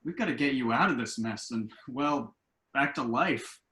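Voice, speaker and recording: A man's voice: medium pitch, moderate pace, pushed and bright, close to an everyday voice. Wry adult son played by a man, echoey room. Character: wry, discouraged adult son and husband, pedantic. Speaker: actor in a virtual table read. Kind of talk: stage comedy-drama table read. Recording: echoey room on online call.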